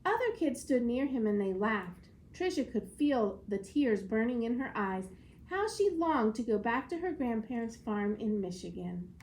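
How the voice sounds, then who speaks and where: A woman schoolteacher giving an expressive storybook read-aloud lesson in a clear, explanatory classroom manner. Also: fairly high pitch, moderate pace, rough recording.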